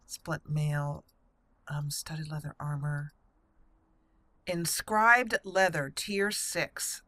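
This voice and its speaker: Female let's play host, chatty and informal, forward bright tone, thinks out loud while playing. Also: deep voice, fairly even intonation, extremely slow, no echo. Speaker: gaming channel host. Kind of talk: casual let's play commentary.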